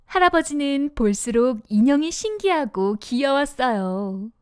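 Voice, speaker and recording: A woman narrating a children's storybook in an expressive, sing-song manner. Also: sits high, a little slow, clear, resonant tone, no echo, studio quality.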